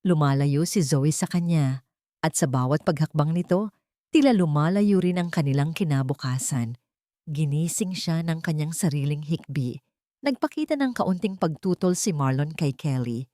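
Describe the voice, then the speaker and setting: A woman's voice: low voice, expressive, moderate pace, warm tone, no echo, clean studio sound. A woman with a Filipino accent narrating a scripted romantic drama story.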